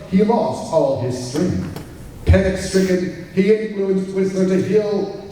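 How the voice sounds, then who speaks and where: A man's voice: high-pitched, dark tone, slight background noise. Man performing a dramatic radio serial announcer, echoey hall. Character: dramatic old-time radio serial narrator. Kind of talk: 1940s radio serial narration. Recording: echoey hall, far from mic.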